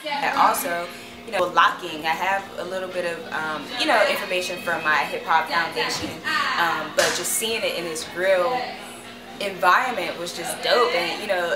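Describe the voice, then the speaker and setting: A young American woman chatting casually to camera in a slangy, enthusiastic, expressive way. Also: lowish voice. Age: young adult. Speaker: choreographer. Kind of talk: casual vlog talk to camera. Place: small room indoors. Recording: roomy sound with loud music behind. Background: loud music.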